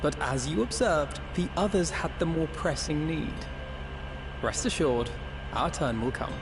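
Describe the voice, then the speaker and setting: An actor playing a gentle, patient young man in a video game, soothing, polite and formal. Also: a little high, expressive, a little slow, background noise. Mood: reassuring. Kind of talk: scripted video game voice acting. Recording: music behind the voice.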